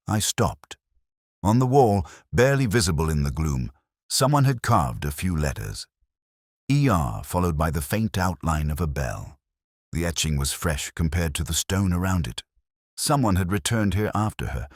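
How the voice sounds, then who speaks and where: A man with an extremely low voice reads a scripted detective drama. His delivery is measured and brooding, with lively rises and falls in pitch. The sound is dry and close, suited to a reflective, weary investigator telling his own case. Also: dry recording. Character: world-weary, reflective male police detective. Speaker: audio drama narrator. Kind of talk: scripted first-person detective story narration.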